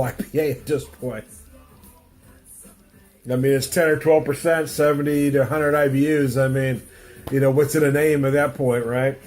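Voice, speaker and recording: A man's voice: medium pitch, a little mellow. Stuffy-nosed man rambling through a beer review. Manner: rambling, self-interrupting, chuckles often. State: stuffy nose from a cold. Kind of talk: casual beer tasting review. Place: home room with music playing.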